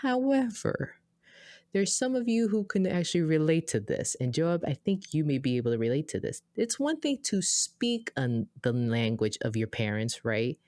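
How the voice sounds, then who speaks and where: A woman with an American accent co-hosting a podcast, telling a story in a chatty, animated way with lots of asides. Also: normal speed, dry recording, studio quality. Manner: animated, acts out quoted dialogue with big pitch jumps; chatty, many fillers and asides. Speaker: podcast co-host. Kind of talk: casual conversational storytelling.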